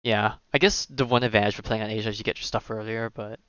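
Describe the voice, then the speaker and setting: A man with a fairly low voice chats casually on a game stream, rambling as he thinks aloud. The sound is dry and slightly dull, with faint music behind him. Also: fairly even intonation, fast talker, a bit bright, no echo. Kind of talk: casual livestream gameplay commentary.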